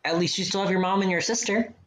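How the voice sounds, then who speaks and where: An actor playing a gentle, supportive young man in a radio play, conversational and reassuring. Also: high voice, fairly even intonation, fast talker, natural voice, low-quality recording. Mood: concerned.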